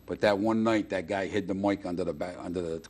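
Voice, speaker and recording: A man's voice: low-pitched, steady tone, quick pace, a little mellow. A middle-aged man. He talks casually, like a comedian guesting on a chat show. He is enthusiastic and repeats phrases to drive them home. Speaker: guest, stand-up comedian and booker.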